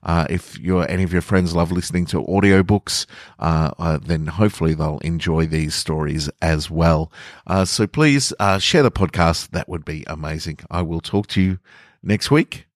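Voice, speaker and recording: A man's voice: low voice, moderate pace, dry recording, clean studio sound. A man hosting his own story podcast, talking casually and a little hesitantly to listeners. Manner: hesitant, conversational. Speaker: podcast host and story author.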